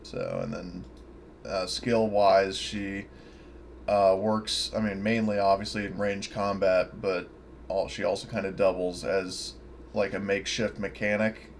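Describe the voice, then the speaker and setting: Low-pitched man, casual and halting. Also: a little hiss or hum. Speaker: tabletop game player. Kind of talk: casual chat on an online game session.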